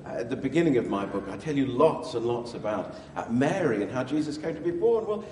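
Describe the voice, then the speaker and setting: A man's voice: mid-range voice, animated, fairly quick, dark tone, a little hiss or hum, close to an everyday voice. A man playing an ancient Greek physician and gospel writer, chatting genially and playfully in a hesitant, interview style. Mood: chatty, earnest. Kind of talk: in-character interview for a church audience. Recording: echoey hall with long reverb, slightly muddy.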